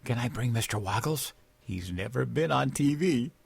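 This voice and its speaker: Man playing a dim, cheerful President-elect with lively swoops. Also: mid-range voice, moderate pace, vocal fry, slightly rough, no echo, full character voice. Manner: naive and earnest. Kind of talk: comedic audio drama acting.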